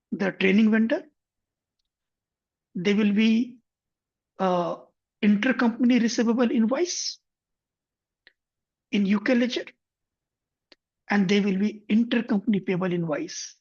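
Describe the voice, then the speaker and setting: A man guides viewers through a software tutorial in a husky, slightly breathy voice. He moves step by step and rephrases as he goes. The recording sounds dull and lo-fi. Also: high-pitched, a little slow, pauses often. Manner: methodical, step-by-step, frequent self-corrections. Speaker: software instructor. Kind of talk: screen-share tutorial explanation.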